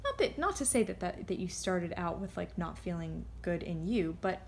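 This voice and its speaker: A woman giving a casual, unscripted card reading, rambling and halting as she drifts off on tangents. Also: fairly low pitch, very animated, creaky, a little mellow, slight background noise. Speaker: tarot card reader.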